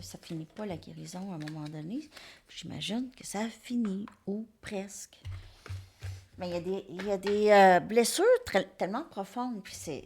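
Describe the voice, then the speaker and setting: A woman's voice: mid-range voice, a little mellow, slight background noise. A woman giving a casual tarot reading, rambling and hesitant, sometimes dropping to near-whispers. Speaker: tarot card reader.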